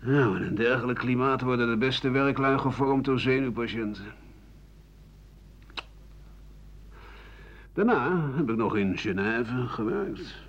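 A man's voice: mid-range voice, normal speed, lightly acted. An actor in a radio play playing an embittered, world-weary old doctor and writer who grumbles disdainfully as he looks back. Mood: weary, grumbling. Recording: dull 1980 radio recording.

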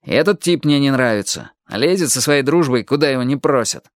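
Woman voicing a sulky teenage boy, lively intonation. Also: rumbling low, fairly quick, dry recording, studio quality. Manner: grumbling, sarcastic. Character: sulky, jealous, grumbling teenage boy, sarcastic. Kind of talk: audiobook character voice.

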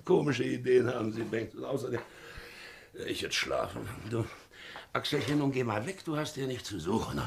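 An actor playing a drunken middle-aged ship's captain in a 1950s German radio play, barking orders and drifting into dreamy muttering. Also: male voice, medium pitch, big pitch swings, moderate pace, vocal fry. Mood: drunken rambling. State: drunk, rambling. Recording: noisy 1950s radio recording.

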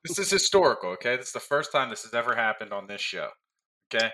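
A man's voice: medium pitch, fast, a loud crowd. A man on a betting panel, boastful and playful, laughing as he gives his picks. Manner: boastful, animated, laughs a lot. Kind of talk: casual panel chat.

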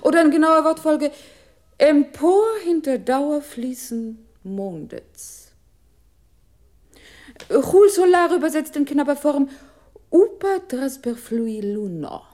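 A woman playing a methodical computer voice that recites in a measured literary radio style. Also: sits high, lively intonation, a little mellow.

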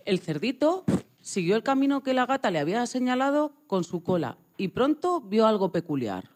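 A woman's voice: on the high side, very animated, moderate pace, a little mellow. A woman reading scripted narration for a children's story.

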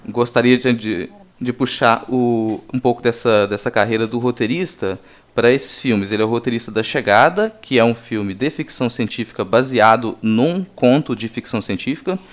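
A man with a Brazilian accent hosting a conversational film discussion, explaining things in a halting way. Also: mid-range voice. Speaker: podcast host.

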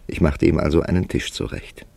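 Deep, gravelly, wry writer-narrator. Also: male voice, dark tone, no echo, exaggerated voice. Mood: wry narration. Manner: measured, wry, dramatic storytelling. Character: older established male writer, wry, worldly narrator of his tale. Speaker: narrator and lead character. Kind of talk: literary radio play narration and dialogue.

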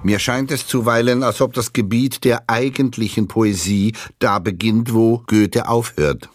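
An actor playing a scornful writer of an earlier century, reciting literary insults in a dramatic reading. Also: male voice, mid-range voice, fairly even intonation, unhurried, dry recording, clean studio sound, faint music behind, natural voice. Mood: disdainful.

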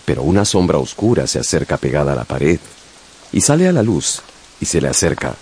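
Deep, animated male narrator. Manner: suspenseful, measured phrasing. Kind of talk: dramatic scripted audiobook narration.

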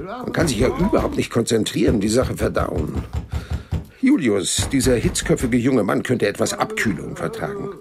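A man's voice: medium pitch, huge pitch swings, moderate pace, creaky. An actor playing an unflappable, sly hundred-year-old man in an audio drama, telling his story in a dry, matter-of-fact, colloquial way. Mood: dry, unruffled. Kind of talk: audio drama first-person narration and dialogue. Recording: radio drama production with music.

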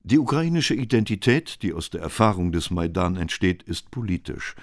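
A man reading scripted documentary narration in a measured, even way. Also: low-pitched, no echo, studio quality.

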